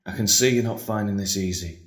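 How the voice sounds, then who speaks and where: A man playing an earnest, patient priest hearing confession in an audio drama, measured and consoling. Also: lowish voice, natural voice. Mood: solemn, patient. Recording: echoey room.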